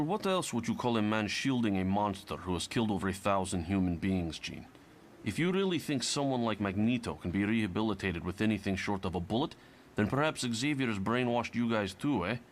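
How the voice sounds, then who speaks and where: An actor playing a bitter young Russian mutant who is fed up with heroics, defiant and argumentative, in a motion comic. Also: male voice, fairly low pitch, slight background noise, natural voice.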